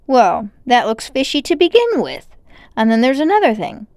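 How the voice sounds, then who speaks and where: A woman voicing an excitable, brooding and adventurous schoolboy in a storybook, speaking emphatically. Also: on the high side, normal speed, no echo, studio quality, voice pushed up. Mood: secretive, earnest. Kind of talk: storybook character voice.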